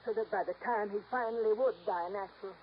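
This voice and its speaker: A woman's voice: medium pitch, quick pace, lightly acted. A woman playing a bitter, defensive older housekeeper in a 1950s radio drama, with folksy, agitated speech. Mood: bitter, desperate. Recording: dull, noisy old radio recording.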